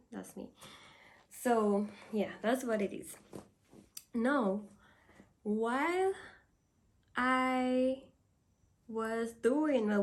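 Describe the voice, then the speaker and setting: A young woman from Dominica with a Dominican accent, chatting casually to camera and laughing often. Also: a little high. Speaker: vlogger.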